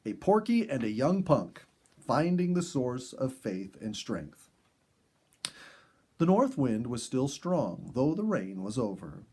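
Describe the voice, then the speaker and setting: Male audiobook reader, mellow and slightly husky, lively pitch movement, storybook delivery. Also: slow. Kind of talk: expressive storybook narration.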